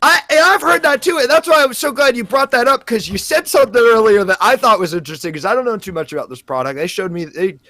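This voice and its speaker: A man's voice: extremely high pitch, expressive, fast, hardly any pauses, pushed and bright, no room sound. A man hosting an improvised comedy interview, speaking in an earnest, politely probing way. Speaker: host of a mock audition.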